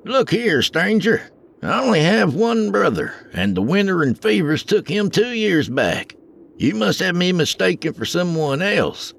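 Guy putting on a wary wagon driver, curt, high and loud. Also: big pitch swings, measured pace, creaky, heavily acted. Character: gruff stern old-west male wagon driver.

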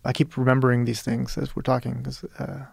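A man's voice: medium pitch, flat delivery, fairly quick, vocal fry, darkish tone, slightly rough, no room sound, clean studio sound. A man from Peru talking as a writer in an interview, thoughtful and searching, often restarting and adding "you know". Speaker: guest writer. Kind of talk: reflective interview conversation.

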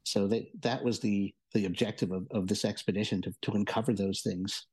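A man giving a casual review as a show contributor, explaining haltingly and often doubling back on words. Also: fairly low pitch, fairly even intonation, a little mellow, breathy edge. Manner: halting, hesitant, repeats words. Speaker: audio entertainment and tech contributor.

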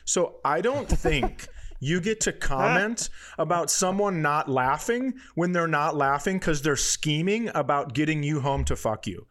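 A male comedian chatting on a podcast in a voice a little higher than most men's. He is casual and opinionated, sliding into sweary rants as he talks. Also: animated, unhurried, fairly bright tone. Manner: profane, opinionated ranting.

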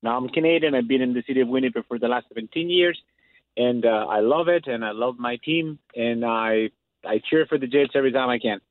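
A man's voice: mid-range voice, no echo. Earnest male caller from Chile on the phone. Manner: earnest, measured. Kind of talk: casual phone chat. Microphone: telephone. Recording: tinny phone line.

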